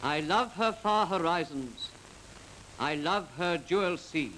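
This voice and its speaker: A middle-aged man teaches speech over the radio. He is slow and deliberate, with a rather high, expressive voice and careful diction. The old recording has a faint hiss. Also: poor audio. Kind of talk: reciting poetry for a speech lesson. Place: small radio studio. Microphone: desk microphone. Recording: hissy 1940s film soundtrack.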